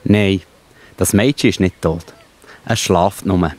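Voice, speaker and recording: A man playing a calm, reassuring healer in a children's Bible audio play, measured and gently authoritative. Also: low-pitched. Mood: gentle authority.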